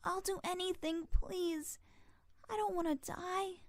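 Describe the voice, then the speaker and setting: A woman's voice: very high voice, fairly even intonation, slow, no echo. A woman voicing a young orphan pony filly in an audio drama, emotional and childlike. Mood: desperate pleading. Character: young orphan filly, frightened, pleading, defiant.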